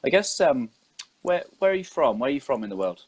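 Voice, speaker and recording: A man's voice: medium pitch, very animated, fairly quick, slightly rough. A man hosting an interview, talking casually and asking his guest questions. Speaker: interview podcast host.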